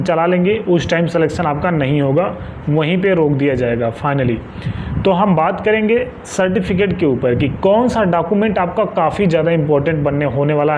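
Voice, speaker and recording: A man's voice: on the high side, a little mellow. A man from northern India giving informal advice to viewers as an exam guidance presenter, insistently repeating key points for emphasis. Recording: muffled lo-fi with faint background noise.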